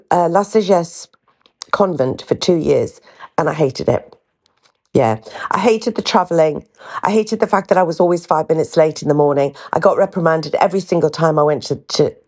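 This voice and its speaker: A woman's voice: low voice, darkish tone. An older woman from North East England with a faint Geordie accent, hosting a podcast and chatting candidly with frequent ums and restarts. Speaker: podcast host. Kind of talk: candid casual storytelling.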